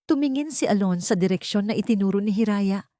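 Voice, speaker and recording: A woman's voice: mid-range voice, animated, brisk, mellow, no echo, clean studio sound. A woman narrating a scripted dramatic story as an audiobook storyteller.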